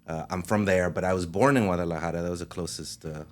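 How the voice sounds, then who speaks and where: Man, from Mexico, low voice, relaxed storyteller, interview guest, laughs easily. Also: fast talker. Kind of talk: casual interview chat.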